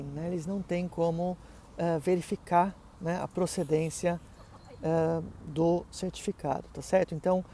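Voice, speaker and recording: A man's voice: fairly high pitch, creaky, warm tone, slight background noise. A middle-aged man teaching German, explaining how his online courses work in a conversational way, full of fillers and tag questions. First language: Portuguese.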